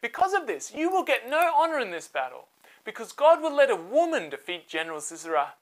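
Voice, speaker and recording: Casting: storyteller woman as authoritative female prophet-judge, high voice, animated declamation for a children's Bible story. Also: a little fast. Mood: commanding. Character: commanding ancient woman judge delivering God's orders.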